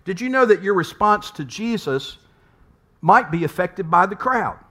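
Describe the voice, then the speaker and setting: Man, pastor, sermon, conversational preaching, rhetorical questions, repeats words, slightly high-pitched. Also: lively intonation, a little slow, a bit bright. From United States.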